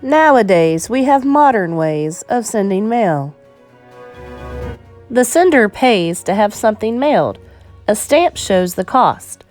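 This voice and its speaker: A woman reading an educational children's picture book aloud in a measured, clearly phrased storytime delivery. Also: mid-range voice, very animated, darkish tone, faint music behind.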